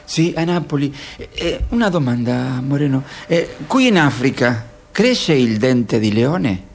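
Actor as bewildered radio-play narrator, male, slow, gravelly, hesitant interjections, faint noise. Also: mid-range voice, lively intonation. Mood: curious. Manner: hesitant, halting, starting with interjections. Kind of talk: poetic radio theatre acting.

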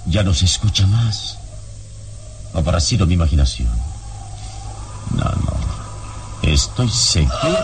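An actor playing a brave, calm, protective adventure hero in a vintage radio serial, with a solemn, deliberate delivery. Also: male voice, sits low, noisy background. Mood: tense, watchful. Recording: radio serial, distant, music behind.

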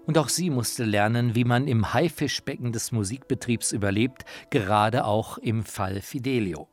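A man's voice: medium pitch, normal speed. Male documentary narrator over faint opera music. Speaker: author and narrator.

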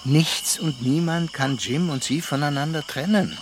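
A man's voice: a little high, natural voice. An actor playing an earnest, troubled, reflective man who narrates and speaks in a radio drama. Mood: earnest, probing. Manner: earnest, deliberate. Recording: clear background noise.

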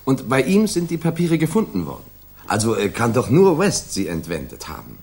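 An actor in a 1960s German radio drama playing a detective's earnest, eager gentleman companion, quick to offer theories. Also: medium pitch, wildly swooping pitch, slightly rough, heavily acted. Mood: eager, speculative.

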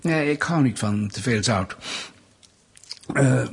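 Smug interrogator, swooping husky delivery. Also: male voice, medium pitch, lively intonation, full character voice. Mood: falsely casual. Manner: mock-polite, needling, deliberate. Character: smug, menacing male Soviet state interrogator toying with suspect. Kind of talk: radio drama acting.

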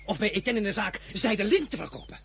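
An actor playing a proud, ambitious young general in a radio play, commanding and quick to flare up. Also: male voice, sits high, lively intonation, creaky, fairly bright tone, heavily acted. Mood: indignant.

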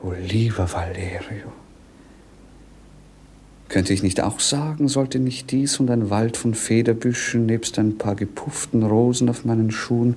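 An actor playing a dreamy, world-weary young prince, declaiming poetically and languidly in 1950s radio drama. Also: male voice, fairly low pitch, a little mellow, slight background noise, lightly acted. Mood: self-mocking.

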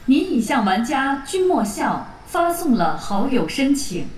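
Female game interface voice, high, formal, echoing and distant. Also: sing-song, a little slow, a little mellow, a little hiss or hum. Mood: neutral, formal. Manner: formal, automated announcing. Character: polite female game system announcer. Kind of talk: game system notifications. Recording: echoing, distant, music behind.

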